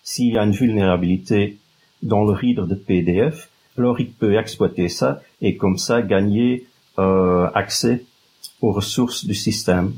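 A man from Flanders explains things as a guest expert, with a Flemish accent from his native Dutch. His voice is fairly low and husky, and he feels his way through sentences. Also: unhurried, a little mellow, low-quality recording. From Flanders, Belgium. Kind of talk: explanatory interview talk.

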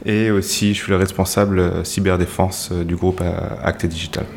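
A man who is a podcast guest, speaking in a halting way with many fillers. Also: sits low, little pitch movement, a little hiss or hum. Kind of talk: casual podcast discussion.

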